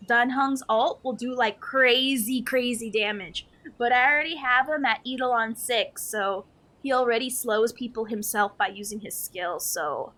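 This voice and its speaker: A woman's voice: a little high, bright, forceful tone. A woman livestreaming a game, chatting casually with viewers in a playful, slangy, giggly manner.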